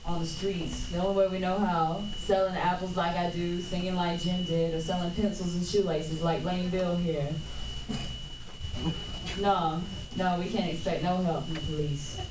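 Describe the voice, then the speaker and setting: A woman voicing a folksy, earnest street apple seller in a radio play, with a wavering put-on New York and Southern accent and halting delivery. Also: fairly low pitch, level tone, lightly acted. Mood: earnest, insistent. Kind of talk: 1940s radio drama read at a live panel. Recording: roomy distant mic, noisy convention room.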